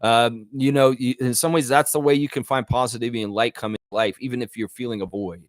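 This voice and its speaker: A man's voice: medium pitch, fairly even intonation, fast talker, no room sound. Casual man hosting a dry-sounding live chat. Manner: informal, conversational, thinking aloud. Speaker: host of a live sports fan chat show. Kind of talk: casual live chat with viewers, reading comments aloud.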